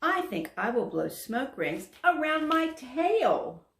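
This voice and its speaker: A woman voicing an excitable young boy dragon who shows off, exclaiming boastfully in a children's storybook read-aloud. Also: sits high, slow pace, reverberant, pitched above the performer's own voice, exaggerated voice.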